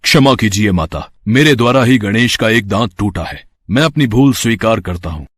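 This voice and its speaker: A man's voice: lowish voice, lively intonation, normal speed, husky, no room sound. A man acting a proud warrior sage, dry sound. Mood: humble. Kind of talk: acted dialogue in a Hindi mythological story.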